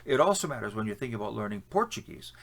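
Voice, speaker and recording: A man's voice: lowish voice, big pitch swings, racing, creaky, a bit bright. A man with a Canadian accent, giving opinionated, conversational advice to camera with frequent fillers and restarts. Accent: Canadian English. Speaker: language-learning vlogger. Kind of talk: casual advice talk to camera.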